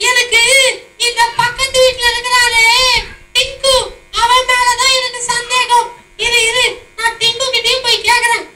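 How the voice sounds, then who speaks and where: Brief: very high squeaky girl puppet, flat scolding tone, echoey and noisy. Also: moderate pace. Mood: worried. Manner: scolding, squeaky, sing-song. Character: girl Rosie, upset chicken owner. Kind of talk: children's puppet show. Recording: echoey hall, far mic, loud noise.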